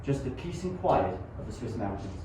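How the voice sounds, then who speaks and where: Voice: medium pitch, big pitch swings, normal speed, warm tone, natural voice. An amateur performer plays an anguished young scientist, dramatic and obsessive, in a homemade radio drama. The voice sits back from the mic in heavy echo, with a faint layer of noise. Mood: somber narrating. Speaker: amateur student performer and narrator. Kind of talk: amateur radio play acting and narration. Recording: very echoey, distant, faint noise.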